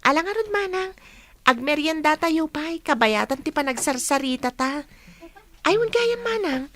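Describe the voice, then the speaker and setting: A friendly young student played by a woman in a radio drama, with a very high voice. She talks in an encouraging way, like someone helping out her cousins and classmates. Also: expressive, moderate pace, fairly bright tone. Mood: friendly, eager. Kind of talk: Ilocano radio drama acting.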